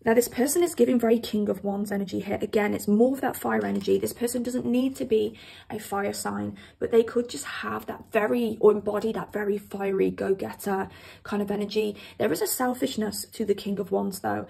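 A woman with a croaky voice left over from a cold, chatting to viewers as she gives a pick-a-card tarot reading at a table. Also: mid-range voice, steady tone, brisk, mellow. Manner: chatty, addresses viewers directly. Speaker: tarot reader. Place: indoors at a table with cards and candles.

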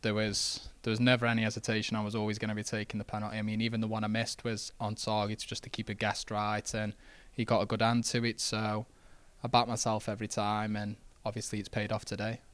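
A young man, a footballer answering post-match interview questions in a relaxed, modest way, with many fillers and restarts. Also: on the low side, fast, no echo. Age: young adult. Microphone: handheld interview mic.

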